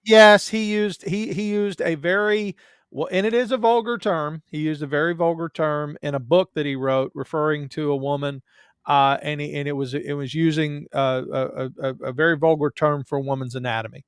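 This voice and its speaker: A man's voice: a little high, fairly even intonation, normal speed, clear, resonant tone, dry recording, clean studio sound. A man with a Southern US accent, a guest in a casual chat, telling stories in a joking, self-deprecating way.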